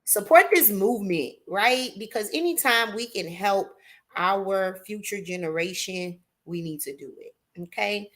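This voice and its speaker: A woman hosting a live talk show, loudly hyping her audience and calling guests "queen". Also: medium pitch, unhurried. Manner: hypes the audience with "y'all" and "queen".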